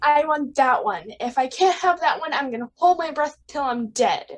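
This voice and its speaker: A woman's voice: high voice, animated, moderate pace. A woman voicing a bratty, defiant little boy in a radio play, whiny and demanding. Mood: petulant, demanding.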